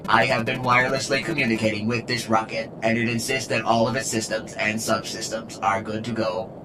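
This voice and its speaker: A man voicing a precise, dryly boastful robot in a game fan dub, with flat, formal delivery. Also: fairly low pitch, fairly quick, noisy background, close to an everyday voice. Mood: dry, matter-of-fact. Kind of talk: scripted fan dub acting. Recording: music behind the voice.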